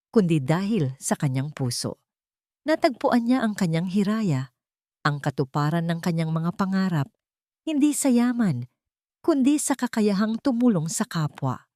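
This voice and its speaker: A woman narrating a romantic audio drama in a gentle, heartfelt tone, speaking directly to her listeners. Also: fairly low pitch, no room sound, studio quality. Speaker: narrator and storyteller.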